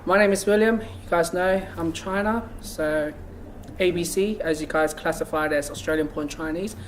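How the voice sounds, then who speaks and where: Young male host, fairly high voice. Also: normal speed, slight background noise. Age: young adult. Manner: asks questions, fills with "like" and "you know". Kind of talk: casual talk show. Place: small room indoors, seated on a couch.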